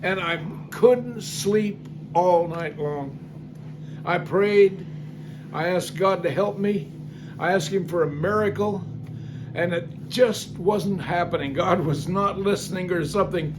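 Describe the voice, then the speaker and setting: A man's voice: high voice, slow pace, noisy background. An older man casually telling a story from his life in a folksy, rambling way, with many fillers and restarts. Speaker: singer telling life stories. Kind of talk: casual spoken testimony.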